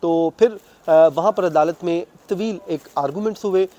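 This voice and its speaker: A man's voice: sits high, normal speed, warm tone. A man with a Pakistani accent giving an unscripted, conversational news account as a court reporter, going through things step by step. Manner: conversational, detailed step-by-step recounting with English legal terms mixed in.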